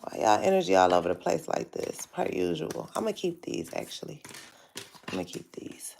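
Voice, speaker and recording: A woman's voice: low-pitched, big pitch swings, moderate pace, gravelly, darkish tone, a little hiss or hum. A woman hosting a casual live tarot reading, chatting straight to her viewers, her voice stuffy and nasal from sinus trouble. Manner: chatty, addresses viewers directly. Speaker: tarot reader hosting a livestream.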